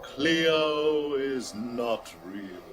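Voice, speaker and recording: An actor playing a suave, menacing alien crime boss in a radio drama, speaking in a courtly, deliberate, smug manner. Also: male voice, mid-range voice, expressive. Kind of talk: radio drama villain dialogue. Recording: prominent music under the voice. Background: music.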